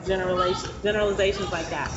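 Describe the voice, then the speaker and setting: A woman's voice: medium pitch, steady tone, very fast. A woman comedian, a podcast guest, chatting casually at a table with short reactions and questions. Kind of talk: casual chat at a restaurant table. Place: restaurant with a fan. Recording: muffled sound with loud background noise.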